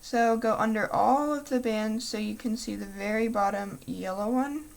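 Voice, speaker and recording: Soft-spoken young woman, gravelly voice. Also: a little high, moderate pace. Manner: soft-spoken, apologetic, self-correcting. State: losing her voice, clearing throat. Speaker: craft tutorial host. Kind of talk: step-by-step crafting tutorial.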